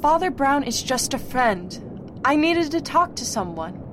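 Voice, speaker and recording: A woman as a firm young daughter, rumbly recording. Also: big pitch swings, a little slow. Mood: calm, defiant. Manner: plain-spoken, assertive. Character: firm, loyal young woman wanting to marry. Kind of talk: audio drama acting. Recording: clear low rumbling background noise.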